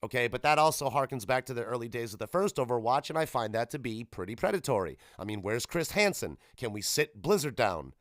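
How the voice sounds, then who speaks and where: A man with a mid-pitched voice talks casually as the solo host of a gaming podcast. He rambles along irreverently and swears freely. The recording is dry, with very little room sound. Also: big pitch swings, fairly quick, hardly any pauses, a bit bright, no echo.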